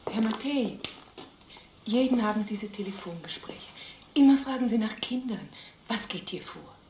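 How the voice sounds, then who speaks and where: A woman's voice: on the high side, animated, normal speed, a little mellow, lightly acted. An actress as an earnest mother, echoey room. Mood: worried. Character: anxious, strict mother working as housekeeper. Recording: echoey film sound, faint background noise.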